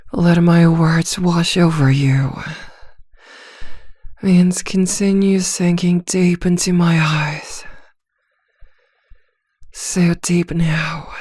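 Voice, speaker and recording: A woman voicing a seductive, dominant vampire in a soft, breathy, teasing ASMR roleplay, coaxing hypnotically close to the mic. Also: low voice, no room sound, heavily acted. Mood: soothing, hypnotic. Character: seductive, dominant female vampire posing as a therapist. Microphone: close microphone, very dry.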